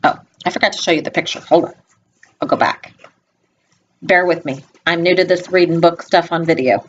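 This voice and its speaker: A woman reading a picture book aloud to young children, expressive, with informal, gently instructive asides spoken directly to them. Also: lowish voice, a little fast, a little hiss or hum. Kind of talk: read-aloud storytelling with chatty asides. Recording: dull lo-fi home video sound.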